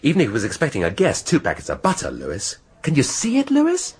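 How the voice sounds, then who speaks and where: An actor playing an ageing, brooding and impatient police inspector in a radio drama, musing and abrupt. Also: male voice, medium pitch, quick pace, breathy edge. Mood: excited. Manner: musing and abrupt, swinging from muttering to excited outbursts.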